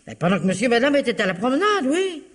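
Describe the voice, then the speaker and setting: A woman's voice: a little high, very animated, fast. A woman playing a chatty, stubborn servant with colloquial, defensive speech, in 1950s French radio mystery style. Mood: matter-of-fact. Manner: colloquial, emphatic, indignantly defensive. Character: chatty, stubborn, self-justifying working-class woman servant. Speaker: radio actor.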